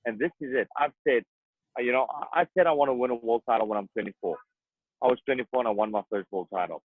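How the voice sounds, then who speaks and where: A boxer, talking earnestly and emphatically in an interview, often repeating himself. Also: male voice, mid-range voice, fast talker, slightly rough, no room sound. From South Africa. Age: 30s. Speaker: boxer guest. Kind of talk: interview conversation. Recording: tinny phone-like dry sound.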